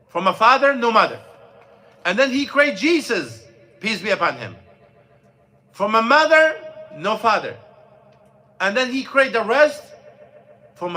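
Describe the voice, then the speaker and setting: High bright-voiced man teaching a class. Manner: plain, repetitive explaining with restarts, asks the class questions. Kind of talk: informal religious lesson. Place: mosque classroom.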